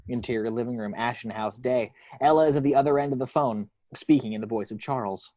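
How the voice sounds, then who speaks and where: A man's voice: medium pitch, fast, dark tone, no echo. A man reading scripted action descriptions from a screenplay as narrator. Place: at home.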